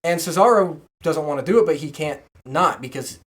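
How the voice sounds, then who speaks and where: Low-voiced woman hosting a casual podcast. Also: expressive, a little fast. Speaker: podcast host. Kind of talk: casual group chat.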